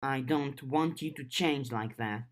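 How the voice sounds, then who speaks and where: A woman's voice: rumbling low, unhurried. A woman voicing a serious, caring, practical teenage girl in an audiobook. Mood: worried, earnest. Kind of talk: audiobook character dialogue.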